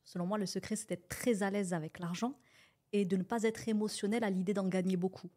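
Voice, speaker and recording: A woman hosting a casual podcast interview at a medium pitch. She lays out her ideas and asks her guest questions, backing him with steady murmurs of agreement. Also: brisk. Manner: encouraging, frequent listening sounds. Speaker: podcast host.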